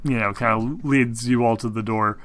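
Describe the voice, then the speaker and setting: A man improvising narration as game master of a tabletop game, in a halting, searching way. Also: mid-range voice, fairly even intonation, a bit bright.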